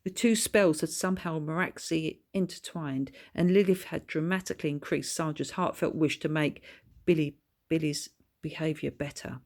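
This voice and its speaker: A woman's voice: low-pitched, steady tone, normal speed, darkish tone. A woman reading her own children's book aloud as scripted story narration.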